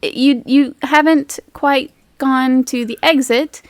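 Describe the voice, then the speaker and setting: A woman's voice: high-pitched, fairly even intonation, slow, no echo. A woman working as game master, narrating an improvised tabletop game in a casual, playful, teasing way. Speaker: game master, podcast host.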